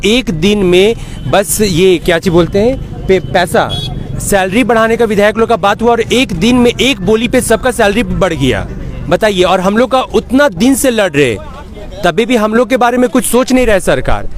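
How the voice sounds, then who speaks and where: High-voiced man arguing, Jharkhand accent. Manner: challenging, combative. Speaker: protester. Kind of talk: argumentative street interview.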